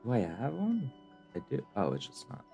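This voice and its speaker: A man's voice: mid-range voice, huge pitch swings, brisk, creaky, dark tone. A man doing casual, rambling live-stream commentary on a video game, with jokey reactions. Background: game music.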